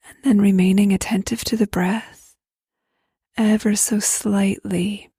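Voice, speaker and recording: Female meditation guide: very husky and breathy, heavy creak, gentle slow delivery. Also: mid-range voice, no echo, studio quality. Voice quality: soft, breathy, near-whisper. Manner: gentle, unhurried, spacious phrasing. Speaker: guided meditation leader, host.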